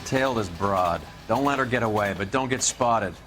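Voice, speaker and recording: An actor playing a wry, world-weary, tough-talking American police detective in a 1940s crime game. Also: male voice, lowish voice, moderate pace, over music, natural voice, rough recording. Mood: gruff, businesslike. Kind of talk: video game dialogue, 1940s crime drama.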